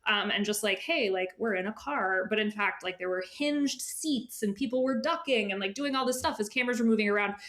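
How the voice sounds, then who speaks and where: A woman's voice: mid-range voice, a little fast, few pauses, fairly bright tone. A woman speaking as an editor and guest in a casual interview, informal, often dropping into asides and imagined quotes.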